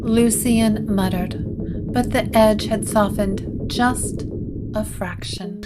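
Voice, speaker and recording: A woman's voice: mid-range voice, fairly even intonation, slow. A woman narrator reading a scripted gothic story. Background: guitar music.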